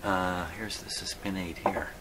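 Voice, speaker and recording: A man hosting a hands-on repair demonstration, thinking aloud in a halting, muttering way as he works. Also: low voice, fairly even intonation, background noise. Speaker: host of a radio repair video.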